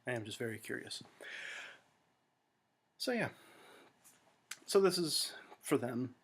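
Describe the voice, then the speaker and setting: A man's voice: medium pitch, expressive, slow, husky. An American man talking to friends in a rambling, self-deprecating monologue full of fillers and restarts, recorded at home. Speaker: vlogger.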